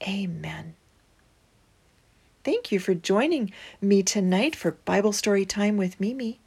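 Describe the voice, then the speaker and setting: A woman reading a children's storybook aloud as host, with deliberate phrasing and emphatic pauses. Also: mid-range voice, lively intonation, vocal fry, a little mellow, breathy edge.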